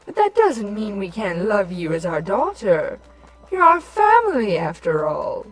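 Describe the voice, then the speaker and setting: Woman voicing a slow, cooing changeling queen. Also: medium pitch, wildly swooping pitch, music in the background, poor audio. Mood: doting. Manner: cooing, drawn-out, theatrical. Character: villainous changeling queen turned sugary, lovestruck, doting mother. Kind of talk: fan fiction audio drama acting.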